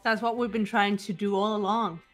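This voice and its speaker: A woman plays a composed, resolute teenage girl detective in a game scene. Her mid-pitched delivery is measured and quietly challenging, with faint music underneath. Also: steady tone, lightly acted. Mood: cool, probing. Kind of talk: character voice acting in a game fan dub.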